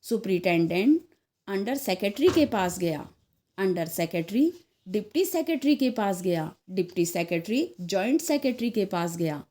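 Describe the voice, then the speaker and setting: Woman, story narration, expressive, animated pitch, unhurried, soft mellow tone. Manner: measured, acts out dialogue.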